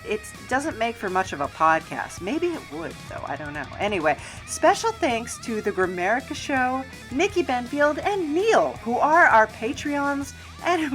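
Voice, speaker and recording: A woman's voice: on the high side, fairly bright tone. A woman hosting a podcast in a casual, rambling, self-deprecating chat style. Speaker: podcast host.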